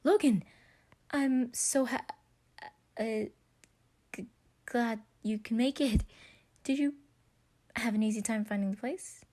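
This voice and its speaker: A woman voicing a friendly, excitable giant man in a story, gushing and stammering when flustered. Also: on the high side, takes it slowly, long pauses, pitched above the performer's own voice. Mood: excited, welcoming.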